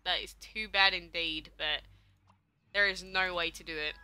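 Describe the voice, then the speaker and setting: A woman's voice: fairly low pitch, fairly even intonation, normal speed, pushed and bright, clean tone, soft background music. A young woman, streaming a game live and chatting casually as she answers viewers' questions. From England. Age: young adult.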